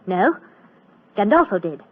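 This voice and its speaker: An actress in a 1940s radio drama playing a frightened, defiant young magician's assistant, curt and emotional. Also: female voice, medium pitch, big pitch swings, measured pace, phone-quality sound. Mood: guarded, shaken. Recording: thin, band-limited old radio recording.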